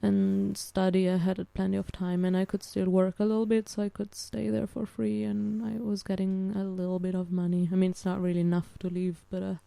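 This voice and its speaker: Gravelly Swiss woman, Italian native. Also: medium pitch, completely flat delivery, a little fast, hardly any pauses, mellow, dry recording. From Switzerland. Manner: hesitant, many fillers and restarts. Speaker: guest. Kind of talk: casual interview chat.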